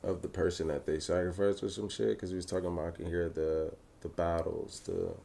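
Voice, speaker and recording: A man's voice: sits low, flat delivery, fairly quick, creaky, a loud crowd. A man reacting casually as a co-host, with slangy, sweary talk.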